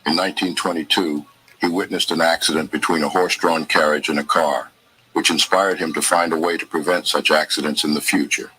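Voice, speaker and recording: Super deep guy narrating, husky, bright edge. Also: fairly quick, a little hiss or hum, low-quality recording. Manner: steady list-style reading. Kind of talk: documentary narration.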